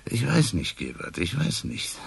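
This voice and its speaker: An actor playing a sceptical, brooding middle-aged police inspector, dry and musing, in a naturalistic German radio crime drama. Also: male voice, mid-range voice, huge pitch swings, measured pace, gravelly, background noise, full character voice. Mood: pensive, doubtful.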